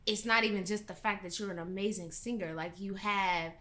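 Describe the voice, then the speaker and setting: Bright, blunt young woman hosting a chat. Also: medium pitch, fairly quick, slight background noise. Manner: animated, laughing, blunt and profane. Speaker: host. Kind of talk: casual chat.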